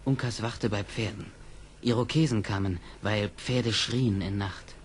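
An actor plays a young Mohican brave in a vintage radio play. He is grave and fairly low, talking in clipped, broken phrases over faint noise. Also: fairly even intonation, a little slow, lightly acted. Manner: terse broken speech, names himself in third person. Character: grave young male Mohican warrior, chief's son. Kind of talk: German radio play acting. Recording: hissy old radio play record.

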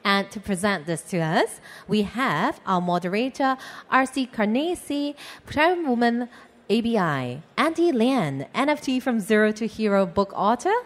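A woman's voice: medium pitch, sing-song, unhurried. Upbeat woman emcee in a reverberant hall. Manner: upbeat, announcer-like. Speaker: event emcee. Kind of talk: scripted stage announcing. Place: large expo hall.

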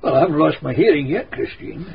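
An actor playing a shrewd, wry Scottish villager telling a gossipy tale in a radio drama. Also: male voice, a little high, quick pace, vocal fry. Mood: reassuring. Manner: storytelling, folksy. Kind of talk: radio drama narration and dialogue. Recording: dull, noisy old radio drama recording.

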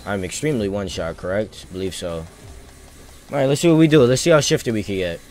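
A man's voice: lowish voice, lively intonation, fast, darkish tone. A man giving casual live gameplay commentary as a streamer, thinking aloud in informal, slangy talk. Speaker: video game streamer.

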